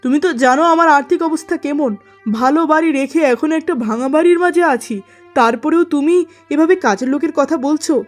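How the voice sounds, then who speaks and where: A woman voices a troubled merchant husband from a cartoon melodrama. The voice is high, earnest and full of grievance and regret. Music plays underneath. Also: fairly quick, close to an everyday voice. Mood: aggrieved. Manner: earnest, aggrieved. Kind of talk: melodramatic cartoon story acting.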